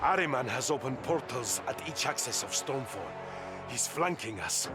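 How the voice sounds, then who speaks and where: A man acting as a dutiful military messenger, urgent and animated, slightly high in pitch. His game dialogue sits over clear music. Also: normal speed, close to an everyday voice. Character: urgent male soldier messenger reporting to his lady.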